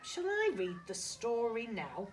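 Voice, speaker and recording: Woman voicing a curious young boy puppy, animated. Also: a little high, takes it slowly, far from the mic, a little hiss or hum, full character voice. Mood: friendly, calm.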